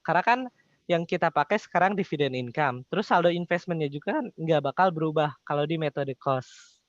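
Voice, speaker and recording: A young man with a slightly high voice teaches in a casual, explanatory way. He poses questions and answers them himself. The recording is a little dull. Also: fairly quick, dry recording. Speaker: accounting tutor. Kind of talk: informal explanatory tutoring.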